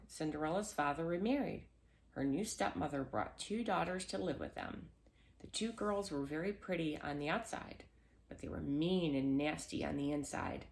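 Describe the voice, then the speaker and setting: Female storyteller, lowish creaky voice, children's fairy tale read-aloud with small slips. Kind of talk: scripted children's storybook narration.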